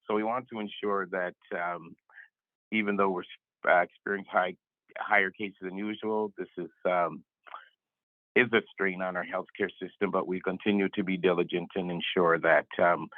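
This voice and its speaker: A man with a voice raspy from a cold, giving halting welcome remarks as a health director. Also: low voice, level tone, no echo. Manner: halting, many fillers. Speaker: health director and board member. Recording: tinny phone line.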